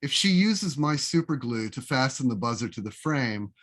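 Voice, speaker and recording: A man reading his own essay aloud as a literary reading, with a deliberate, wry, expressive storytelling delivery, recorded over a video call. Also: mid-range voice. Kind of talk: literary reading, scripted first-person narration.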